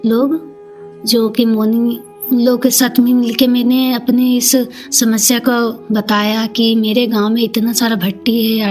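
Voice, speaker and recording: A woman with an eastern Indian regional Hindi accent shares a personal story. Her voice sits fairly high and moves very slowly, in halting, plain, colloquial phrases. Soft music plays behind her. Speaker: community leader, podcast guest.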